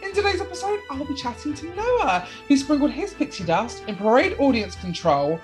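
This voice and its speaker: A woman's voice: high voice, very animated, normal speed, soft background music. A British woman from the UK hosting a podcast interview, bubbly and gushing. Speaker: podcast host.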